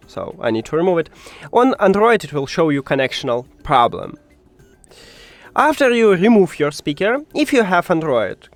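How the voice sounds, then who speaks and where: A man who speaks English as a second language, presenting a step-by-step how-to tutorial with simple, instructional phrasing. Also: on the high side. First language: not English.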